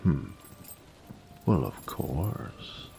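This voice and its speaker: A man playing a flirty, adoring dragon mentor in an intimate romantic audio roleplay, speaking formally in a gravelly voice that often drops to a whisper. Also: extremely low pitch, big pitch swings, warm tone, slight background noise, full character voice. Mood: patient, coaxing. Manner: slow, formal, doting, seductive.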